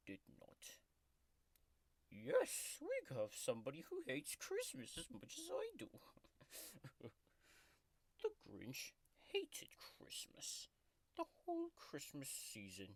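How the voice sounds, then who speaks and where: Woman doing a crude male tiger creature, whispery, very deep, wildly swooping pitch, dry close sound. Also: dry recording, full character voice. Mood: mocking storytelling. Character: grumpy foul-mouthed male tiger-like creature. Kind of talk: comedic ASMR bedtime story reading.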